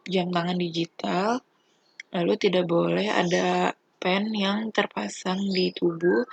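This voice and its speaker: A young adult woman, a student giving an instructional presentation in a halting, deliberate, step-by-step way. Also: on the low side, creaky, slightly rough, no echo, low-quality recording. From Indonesia.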